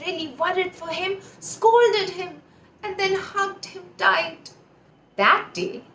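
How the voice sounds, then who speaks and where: A woman hosts a story for children in a very high voice. She speaks directly to young listeners and repeats words for emphasis. The room is echoey, with faint noise in the recording. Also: fairly bright tone. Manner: addresses children directly, emphatic word repetition. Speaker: children's storyteller, channel host.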